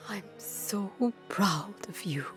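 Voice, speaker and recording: A middle-aged woman playing a phantom mother in a game, theatrical and slow, both tender and menacing. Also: mid-range voice, a bit bright. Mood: coaxing. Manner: slow, theatrical, swinging from whisper to force. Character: mother apparition, tender turning cruel and menacing. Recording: music under the voice.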